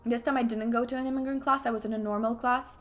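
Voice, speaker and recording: A woman's voice: fairly high pitch, fairly even intonation, quick pace, soft background music. A young woman from Ukraine chatting casually on a stream, often restarting sentences and slipping in asides to herself. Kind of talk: casual chat while playing chess.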